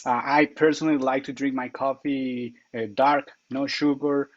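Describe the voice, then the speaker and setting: A man's voice: medium pitch, flat delivery, moderate pace, no echo, muffled recording. A man from Colombia, a company consultant, answering interview questions earnestly, with many fillers and restarts. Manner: earnest, explains step by step with frequent uh fillers and restarts. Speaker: account consultant guest.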